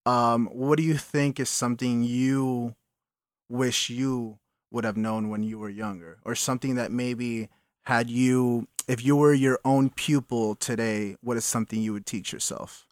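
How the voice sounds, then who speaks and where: A man's voice: mid-range voice, steady tone, unhurried, no room sound, studio quality. Man hosting a casual interview. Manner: hesitant, filler-heavy questions.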